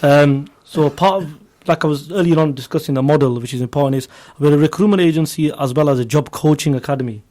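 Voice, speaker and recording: A man's voice: fairly high pitch, quick pace, hardly any pauses, darkish tone. A man from Bradford with a West Yorkshire accent, explaining eagerly as a guest in an informal radio interview. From Bradford, England. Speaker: interview guest, recruitment agency founder.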